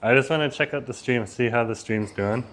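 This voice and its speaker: A man casually chatting on a live stream from a Vietnamese restaurant, talking easily, reading chat messages aloud and laughing often. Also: a little fast. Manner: says he has a loud voice and lowers it. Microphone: phone.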